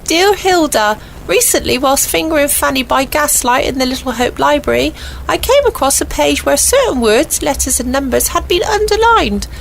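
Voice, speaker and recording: Woman acting as prim British villager, high pitch, very lively intonation, earnest letter reading, 1940s radio comedy. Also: normal speed, creaky, slight background noise, poor audio. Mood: earnest, concerned. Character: earnest, gossipy woman villager writing to agony aunt.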